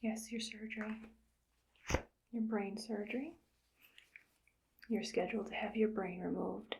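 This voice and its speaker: A woman voicing a cold, calm evil scientist who harvests brains, whispering softly in a clinical, matter-of-fact way for an ASMR roleplay. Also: medium pitch, measured pace, gravelly, a little hiss or hum, rough recording. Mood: coolly clinical. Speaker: ASMR creator.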